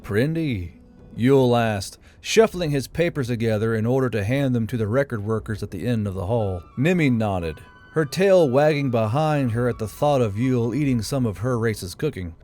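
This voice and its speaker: A man's voice: mid-range voice, lively intonation, moderate pace. A man reading a fantasy novel aloud as scripted audiobook narration. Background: quiet background music.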